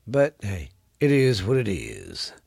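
A man's voice: on the low side, huge pitch swings, slow pace, creaky, a little mellow, breathy edge, no room sound, clean studio sound. A man with a Texan accent hosting his own podcast in a laid-back, rambling way, swearing freely and sounding stuffy and congested. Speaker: podcast host. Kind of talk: casual solo podcast chat.

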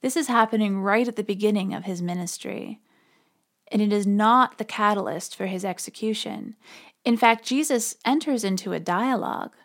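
A woman's voice: mid-range voice, normal speed. Woman hosting a religious podcast. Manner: informal, explanatory. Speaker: podcast host. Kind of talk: conversational scripted religious commentary.

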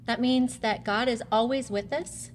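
Female presenter, motherly role, fairly high voice, simple explanatory talk, long room reverb. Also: fairly even intonation, a little slow. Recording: reverberant room with background noise.

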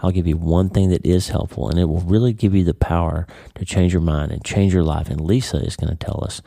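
A man's voice: low-pitched, fairly even intonation, a little fast, gravelly, warm tone, no echo, studio quality. A man with a stuffy, congested nose, talking casually and explaining things as a podcast host, repeating words as he thinks. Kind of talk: casual solo podcast talk.

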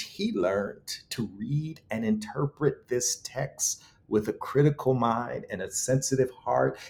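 A man's voice: medium pitch, creaky, darkish tone. A man speaking as an interview guest, reflective and eloquent, with emphatic, preacherly storytelling and rhetorical repetition. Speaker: guest author.

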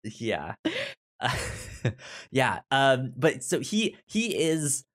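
A man co-hosting a podcast, casually discussing a movie in a halting way, often stuttering and repeating words and syllables. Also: mid-range voice, big pitch swings, a bit bright. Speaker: podcast co-host.

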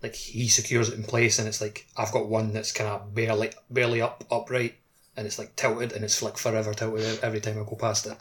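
A man's voice: lowish voice, little pitch movement, fast, a bit bright, a little hiss or hum. A man co-hosting a casual gaming chat, talking loosely and circling back with lots of filler likes. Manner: hesitant, conversational, peppered with "like". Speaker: podcast host. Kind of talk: casual video game discussion.

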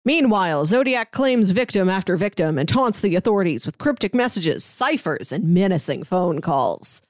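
A woman podcast co-host with a mid-pitched voice, recorded close and dry. She talks casually and chattily, pausing and hedging with little asides as she presents things to her co-host. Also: very animated, a little mellow, no room sound. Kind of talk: casual podcast chat, pitching films and reading synopses.

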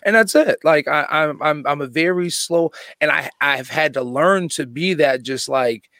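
A man's voice: fairly high pitch, a little slow, sharp tone, no echo, studio quality. Laid-back sarcastic man. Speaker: guest podcast host. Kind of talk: casual group chat.

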